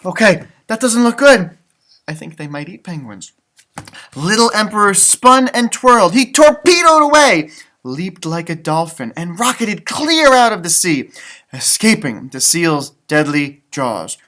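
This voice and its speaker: A man reading a storybook aloud for children, expressive and dramatic, breaking off for chatty, simple asides and sound effects. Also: high voice, unhurried. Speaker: elementary school music teacher reading storybooks aloud.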